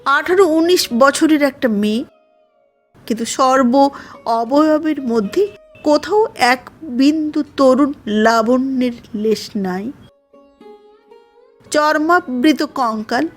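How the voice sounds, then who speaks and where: A woman narrating a literary audio story in a measured, formal reading manner. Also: high-pitched.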